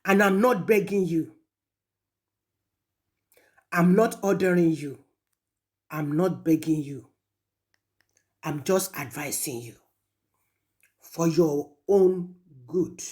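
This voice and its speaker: A woman with a Nigerian accent delivering a stern, deliberate admonishing message, repeating phrases for rhetorical weight. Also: lowish voice, darkish tone. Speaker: speaker addressing a traditional ruler in a recorded message. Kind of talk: admonishing recorded audio message.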